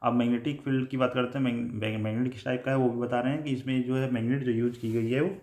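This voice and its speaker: A man with an Indian accent giving a technical lecture, explaining in a halting, repetitive way and mixing in English terms. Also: medium pitch, steady tone, a little mellow. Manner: halting, repetitive explaining with frequent filler phrases. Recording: echoey room.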